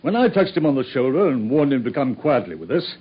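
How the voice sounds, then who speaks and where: A persistent police inspector. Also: male voice, medium pitch, very animated, slightly rough, lo-fi sound. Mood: probing. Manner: measured, probing questions. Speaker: police detective questioning a witness. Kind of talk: radio drama acting.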